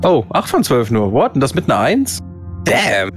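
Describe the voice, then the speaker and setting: A man's voice: mid-range voice, huge pitch swings, moderate pace. A man playing a tabletop role-playing game, switching between casual chat and narrating his character's actions. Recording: faint music behind the voice. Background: faint music.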